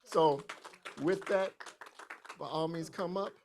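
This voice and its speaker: A man's voice: fairly high pitch, slow pace, slight background noise. A man hosting a speakers' association meeting, calling up new members and making announcements in an upbeat way. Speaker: event host.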